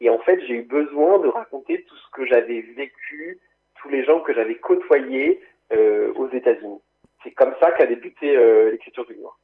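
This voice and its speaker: A man from Canada whose first language is English, a guest author talking over the phone in quick, eager bursts with stumbling restarts. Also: medium pitch, breathy edge. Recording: tinny phone line.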